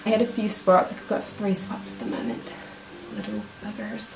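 A woman's voice: medium pitch, big pitch swings, moderate pace. Young kiwi woman with a cold, nasal, chatty beauty vlog talk, echoey little room. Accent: New Zealand. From New Zealand. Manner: chatty and enthusiastic, shows products to camera. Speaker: beauty vlogger. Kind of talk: casual product review talk. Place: small room indoors, seated. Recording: roomy echo.

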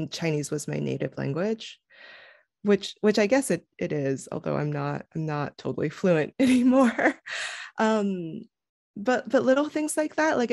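A woman in her thirties speaks with a lowish, gravelly voice that has plenty of fry. She talks reflectively, restarting and circling back as she gathers her thoughts. The sound is close and dry, through a headset on a video call. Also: a little mellow, no room sound. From United States. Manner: thoughtful, many restarts and fillers. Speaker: guest. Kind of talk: casual conversational interview.

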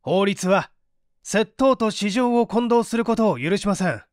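A man's voice: sits high, animated, measured pace, no room sound, clean studio sound, pitched above the performer's own voice. A man voicing an authoritative, composed detective doctor who speaks firmly and didactically in a story reading. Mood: firm objection. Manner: firm, emphatic, didactic.

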